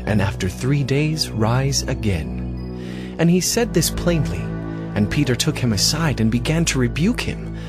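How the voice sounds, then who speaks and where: A man giving scripted, dramatic narration of scripture for an audio drama. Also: medium pitch. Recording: music behind the narration.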